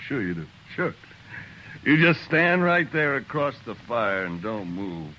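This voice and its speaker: An actor playing a murderous, mocking American old-west outlaw who drawls and taunts, in a 1950s radio western. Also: male voice, mid-range voice, lively intonation, takes it slowly, pushed and bright, lo-fi sound. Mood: mocking, menacing. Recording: faintly noisy vintage radio recording.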